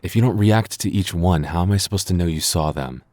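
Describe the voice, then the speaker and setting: A man's voice: deep voice, fairly quick, darkish tone, no room sound, natural voice. A man playing a flustered young man with a secret crush in an intimate boyfriend ASMR roleplay, stammering and getting defensive. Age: young adult.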